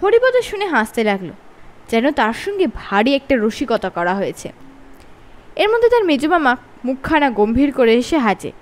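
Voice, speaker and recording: A woman storyteller with a Bengali accent reads a funny children's tale aloud. Her voice sits high and moves all over the place. She changes her delivery for each character. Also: normal speed. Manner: expressive, shifts pitch for each character.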